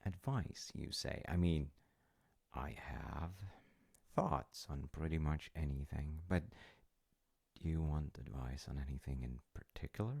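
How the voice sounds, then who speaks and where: A man playing a gentle, loving, wise dad in an intimate audio roleplay, speaking tenderly and hesitantly in short phrases with a gravelly, breathy voice. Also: a bass voice, measured pace, no echo, full character voice. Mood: tender, reassuring. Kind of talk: intimate audio roleplay, improvised-sounding storytelling.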